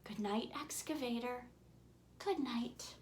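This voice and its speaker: A woman reading a rhyming children's bedtime storybook aloud in an expressive, theatrical way, with dramatic shifts in volume. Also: slight background noise. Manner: expressive, theatrical, swinging from booming to hushed whispers; slow, deliberate, rhythmic delivery of rhymes. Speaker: author reading her own picture book aloud. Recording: roomy, echoing room sound.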